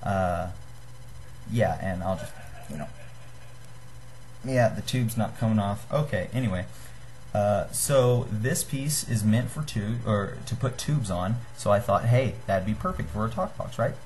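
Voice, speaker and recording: A man's voice: a little slow, a little mellow. A young man giving a casual, unscripted tutorial to a webcam in a small room, in a hesitant, apologetic, self-deprecating manner. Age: young adult. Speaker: DIY video maker giving a tutorial. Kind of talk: casual unscripted how-to talk. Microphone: built-in webcam at desk distance. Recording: noisy low-grade webcam microphone.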